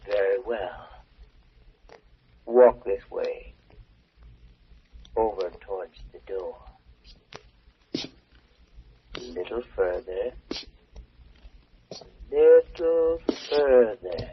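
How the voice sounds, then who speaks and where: A man playing Death as a taunting, sinister presence in 1940s radio horror, husky and deliberate. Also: lowish voice, slight background noise. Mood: coaxing. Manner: slow, deliberate, menacing. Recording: muffled old radio recording.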